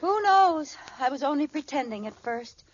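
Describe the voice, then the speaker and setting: An actress playing a scheming, melodramatic housewife in a 1940s radio sitcom, theatrical and plaintive, on an old recording. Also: female voice, high-pitched, expressive, normal speed, a loud crowd. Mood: grave, confiding. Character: scheming, melodramatic housewife feigning a dramatic illness. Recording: muffled, very noisy old radio recording, audience.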